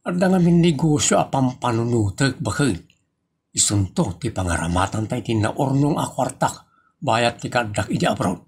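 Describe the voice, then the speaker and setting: A man playing an excitable, bumbling husband in a comic audio drama, with a creaky, husky voice and an exclamatory delivery. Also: medium pitch, moderate pace. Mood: eager, blustering.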